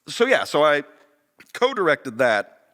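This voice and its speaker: A man's voice: a little high, huge pitch swings, a little slow, pushed and bright. A man chatting casually and enthusiastically on a podcast as a theater director and actor, with emphatic delivery.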